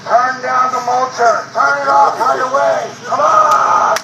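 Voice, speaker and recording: A man's voice: very high-pitched, level tone, takes it slowly, reverberant, distant mic. A middle-aged man in an indignant, theatrical manner, sometimes amplified through a bullhorn, recorded on amateur video. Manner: loud, indignant, theatrical, often laughing. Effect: bullhorn amplification at times. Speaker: resident confronting noisy tree workers. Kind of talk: shouted bullhorn rants and casual chat. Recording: very noisy amateur home video. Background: loud wood chipper noise.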